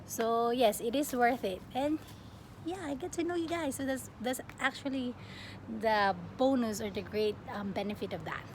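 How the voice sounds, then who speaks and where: A young woman from the Philippines chatting casually as a plant vlogger, expressive and often breaking off mid-sentence. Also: on the high side, moderate pace. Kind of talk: casual get-to-know-me question-and-answer chat. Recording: clearly noisy home recording.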